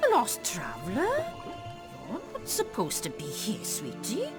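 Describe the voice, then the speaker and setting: A woman's voice: medium pitch, wildly swooping pitch, unhurried, over loud music. Swooping, menacing fairy-tale witch. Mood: sweetly menacing. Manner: cloying, menacing sweetness. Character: sly old cannibal witch from a fairy tale. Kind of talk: video game character acting.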